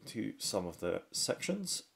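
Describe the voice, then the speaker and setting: Deep, gravelly-voiced man narrating an unboxing. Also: big pitch swings, a little slow, fairly bright tone. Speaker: product reviewer. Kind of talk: unboxing commentary. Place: indoors at a wooden tabletop. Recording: faint handling and crinkling noise. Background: faint clicks and packaging crinkle.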